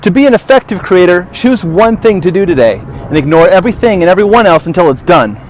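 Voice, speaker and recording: A man giving short, punchy advice with a loud, emphatic delivery. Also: high-pitched, animated, fairly quick. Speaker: presenter of short productivity tip videos. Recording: noisy outdoor clip with traffic ambience.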